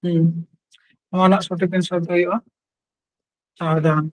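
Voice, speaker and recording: Male exam tutor, high voice with little melody, close dry sound, repeats each question in short phrases. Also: little pitch movement, unhurried, dry recording, low-quality recording. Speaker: teacher. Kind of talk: exam question-and-answer lesson.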